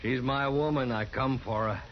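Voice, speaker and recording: An actor playing a mean, stubborn frontier husband with an American accent and rough, threatening speech in a 1950s radio western. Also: male voice, mid-range voice, moderate pace, close to an everyday voice. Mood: menacing. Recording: muffled, noisy vintage radio recording.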